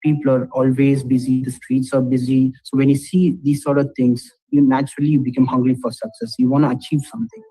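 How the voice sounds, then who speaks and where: A man's voice: medium pitch, level tone, a little fast, few pauses, warm tone, rough recording. A young man giving a personal testimonial as a student living abroad. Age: young adult.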